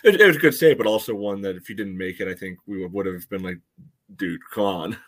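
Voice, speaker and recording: A man with a fairly low voice talks through sports analysis as a podcast co-host. He is measured and conversational, often padding his points with "you know.". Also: fairly bright tone. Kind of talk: casual soccer analysis.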